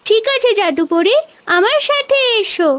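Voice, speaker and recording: Tiny eager village girl, super high cartoon voice, earnest. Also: lively intonation, a little slow, a little hiss or hum. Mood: urgent, caring. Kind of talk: cartoon voice acting.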